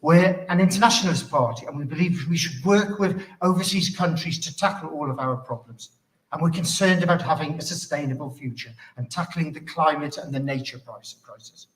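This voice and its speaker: A man giving a measured, formal closing speech as a political candidate at a hustings. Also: a little high. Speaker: political candidate, local councillor. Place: hustings venue, indoors. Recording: echoey, distant from microphone.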